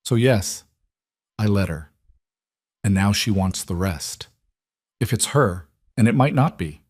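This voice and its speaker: A man voicing a composed, guarded male crime boss who speaks in a measured, clipped way. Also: on the low side, expressive, a little mellow, dry recording.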